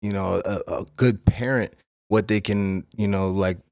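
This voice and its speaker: A man's voice: lowish voice, fairly even intonation, measured pace, creaky, darkish tone. A man hosting a podcast interview, talking with enthusiasm and stumbling over his words with lots of fillers. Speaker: podcast host.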